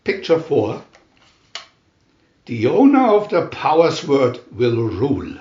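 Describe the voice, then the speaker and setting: A man reading a storybook aloud as dramatic narration, in a theatrical, deliberate manner with emphatic announcements. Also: mid-range voice, wildly swooping pitch, darkish tone, reverberant, muffled recording. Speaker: reader of picture-reel story booklets.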